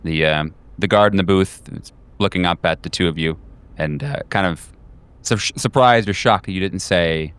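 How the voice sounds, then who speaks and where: A man with a low, clean, resonant voice runs a tabletop game. He improvises scenes casually and haltingly, thinking aloud, close and dry on the microphone. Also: a bit bright, clean tone, dry recording. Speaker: game master.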